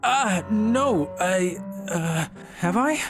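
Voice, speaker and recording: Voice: medium pitch, animated, very slow, over music. A performer voicing a brooding, cowardly teenage schoolboy who narrates a manga story in a melodramatic, scheming way. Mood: flustered, defensive. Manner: melodramatic, sinister chuckling schemer.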